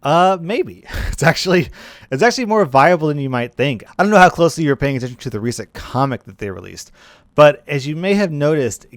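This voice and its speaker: A man's voice: mid-range voice, no echo. A man hosting a gaming video with casual, conversational commentary, often repeating phrases for emphasis.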